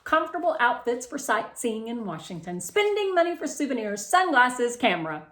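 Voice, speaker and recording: A woman's voice: fairly high pitch, very animated, moderate pace, fairly bright tone, voice pushed up. Woman acting kindly, organized mother, echoey. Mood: supportive. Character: supportive, organized mother of a schoolgirl.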